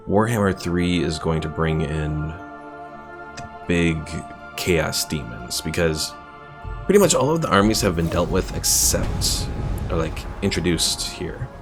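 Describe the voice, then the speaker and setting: A man's voice: very deep voice, creaky. A man streaming a strategy game, chatting casually, rambling about the lore and reading viewers' messages aloud. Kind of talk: casual gameplay commentary.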